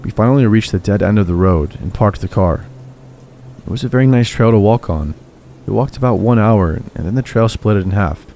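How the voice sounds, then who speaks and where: Male narrator, low-pitched and mellow, calm scripted horror reading. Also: brisk, a little hiss or hum. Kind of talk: scripted narration of reader stories. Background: faint music.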